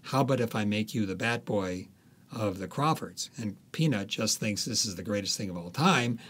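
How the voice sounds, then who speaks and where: A man with a slightly low voice talks as a guest author in an interview. He tells anecdotes in a conversational way, often halting as he searches for the next word. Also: lively intonation, a bit bright, breathy edge.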